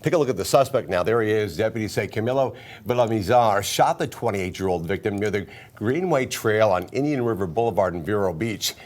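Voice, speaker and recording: A man's voice: on the low side, expressive, brisk. A man with an American accent reading scripted news as a television anchor.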